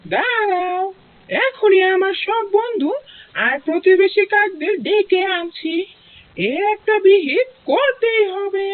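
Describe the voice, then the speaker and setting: A woman's voice: very high-pitched, animated, slow, full character voice. A woman voicing a bold, protective father crow in a cartoon, speaking earnestly and emphatically. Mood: indignant, rallying. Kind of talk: cartoon story dialogue. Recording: narrow-band, phone-like sound.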